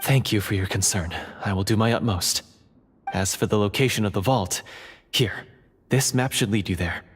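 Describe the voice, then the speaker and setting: A man playing an earnest undercover dungeon guard in a fantasy video game, speaking gravely, formally and urgently. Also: on the low side, normal speed, close to an everyday voice. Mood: resolute. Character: earnest undercover male dungeon guard, secret monster hunter. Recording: long reverb, faint noise.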